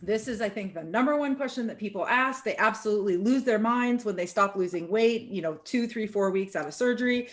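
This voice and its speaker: A woman's voice: medium pitch, brisk, few pauses. Chatty encouraging woman host. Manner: upbeat, encouraging, chatty with many "right?" tags. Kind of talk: casual group chat.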